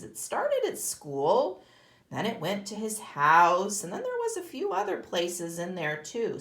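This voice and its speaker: Lady kindergarten teacher explaining a story to little kids, super sing-song, unhurried, echoey room. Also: fairly high pitch, a bit bright. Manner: explanatory, simple phrasing, emphatic. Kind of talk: read-aloud lesson for young children.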